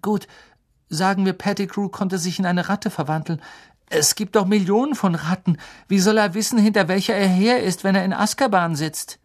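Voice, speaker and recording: Casting: man as sceptical storybook schoolboy, raised pitch, incredulous, protesting. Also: moderate pace. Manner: sceptical, questioning.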